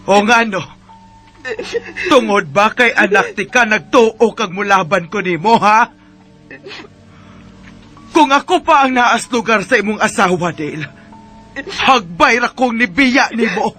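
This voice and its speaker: A stern middle-aged mother-in-law in a Bisaya radio drama, with a sharp, bright voice and a Cebuano accent. She talks slowly and emphatically, full of righteous reproach, with music underneath. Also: medium pitch. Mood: scolding, indignant. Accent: Cebuano Filipino. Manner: reproachful, emphatic, with rhetorical questions. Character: stern, righteous mother-in-law scolding her grown son.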